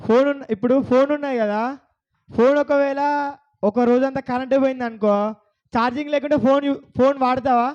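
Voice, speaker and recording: A man's voice: very high voice, fairly even intonation, a little fast, darkish tone, lo-fi sound. A man hosting a kids' bible puppet show, asking the children questions over and over.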